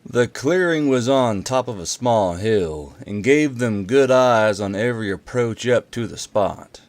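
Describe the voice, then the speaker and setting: A man's voice: medium pitch, expressive, a little slow. A man hosting and narrating a story, with a casual, offhand way of talking. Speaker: channel host and narrator. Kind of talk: casual intro, then audiobook-style story narration.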